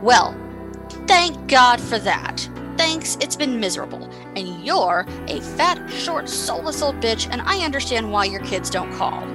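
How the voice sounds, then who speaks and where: A woman's voice: on the high side, sing-song, a little slow, music in the background. Brash, mouthy hard-drinking woman. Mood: angry. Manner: informal, defiant, foul-mouthed. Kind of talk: modern audio drama acting.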